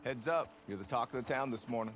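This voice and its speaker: A man's voice: medium pitch, fast talker, close to an everyday voice. An actor playing an easygoing young American man who teases his friend in a dry, laid-back way in a video game. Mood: dry, teasing. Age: young adult. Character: easygoing young man, teasing friend in a post-apocalyptic settlement. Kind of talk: naturalistic video game dialogue. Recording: game ambient noise behind dialogue.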